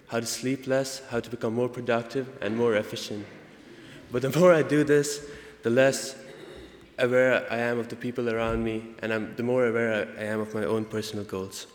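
A man's voice: medium pitch, steady tone. Echoey young Indian-accented man giving a speech. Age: young adult. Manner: earnest, halting with self-corrections. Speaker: student speaker. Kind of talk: prepared personal speech. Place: large indoor hall, convocation. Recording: echoey hall with long reverb.

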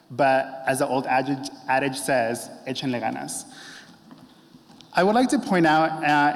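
Young man, mid pitch, earnest measured speech, hall reverb. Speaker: speaker. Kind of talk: prepared speech.

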